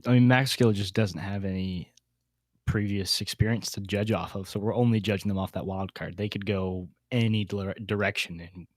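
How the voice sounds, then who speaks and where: A man's voice: on the low side, steady tone, moderate pace, no echo. A man hosting a casual panel discussion, often repeating words as he thinks aloud. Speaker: podcast host.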